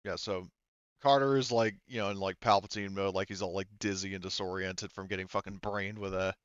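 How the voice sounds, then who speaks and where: A man narrating a tabletop roleplaying game as game master, in a casual, halting, informal way. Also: low-pitched, steady tone, smooth, dry recording, dull audio.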